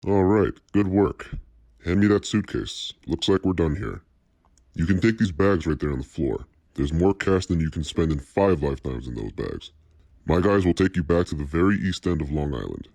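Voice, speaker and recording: Guy acting as a menacing crime boss, low voice, swings around a lot, cold and bossy, audio drama style. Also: lively intonation, moderate pace, gravelly, darkish tone, dry recording, full character voice. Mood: calm, businesslike. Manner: commanding, threatening.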